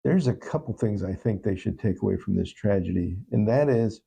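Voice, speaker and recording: A man speaking as an interview guest, giving earnest, thoughtful answers in a halting, reflective way. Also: on the low side, animated, creaky, mellow, breathy edge. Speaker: talk show guest.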